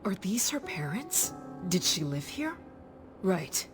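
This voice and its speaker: An actress playing a warrior's earnest, caring female companion in a video game, gentle, serious and measured. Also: mid-range voice, very animated, over loud music. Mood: concerned, earnest.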